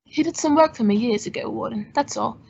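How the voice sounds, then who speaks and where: A woman playing a suave gentleman detective in an old-time radio drama, cool and dryly witty. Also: fairly high pitch, a little fast, vocal fry. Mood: cool, wry. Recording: muffled audio with faint background noise.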